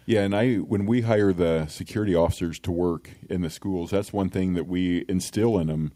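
Middle-aged cop guest, very low, dark dry voice, earnest, plainspoken anecdotes. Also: male voice, moderate pace, dry recording. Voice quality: deep voice. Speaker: school resource officer. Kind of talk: casual podcast interview.

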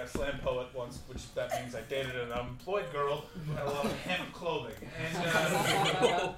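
A Canadian man doing stand-up crowd work, teasing the audience with brash, rapid riffs and mock voices. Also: sits high. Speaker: stand-up comedian. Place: comedy club stage. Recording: roomy live club recording from a distance. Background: audience laughter.

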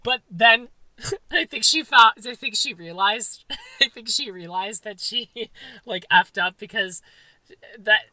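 A young man with a very high voice, co-hosting a casual chat and joking in a campy, playfully dramatic way. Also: takes it slowly, bright, forceful tone. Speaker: podcast co-host.

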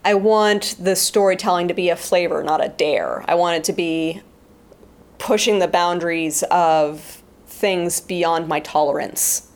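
A woman's voice: on the low side, measured pace. A woman talking reflectively in an interview, explaining her creative views in a thoughtful way. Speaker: interview guest, sound effects artist and writer. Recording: music playing behind the voice. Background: music.

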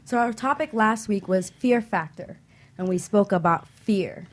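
A woman's voice: medium pitch, unhurried. A woman co-hosting a radio talk show in a studio, chatting cheerfully and laughing easily.

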